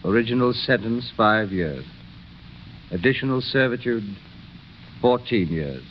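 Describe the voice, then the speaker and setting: A man playing a kindly, serene elderly priest in a 1930s radio drama, speaking in a calm, measured, courteous way. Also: mid-range voice, close to an everyday voice. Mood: calm, gracious. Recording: dull, noisy 1930s radio recording.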